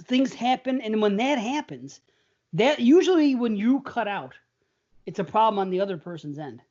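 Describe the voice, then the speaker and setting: A man's voice: high voice, animated, moderate pace, darkish tone, dull audio. A man co-hosting a casual horror podcast over a remote call, rambling and stammering as he talks. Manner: rambling, stammering, laughs often. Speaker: podcast co-host. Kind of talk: casual banter.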